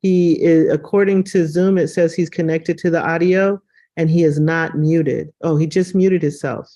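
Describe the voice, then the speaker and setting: A woman's voice: sits low, fairly even intonation, moderate pace, dark tone. A woman moderating an online meeting, chatting informally while she sorts out audio problems. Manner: chatty, handling tech problems.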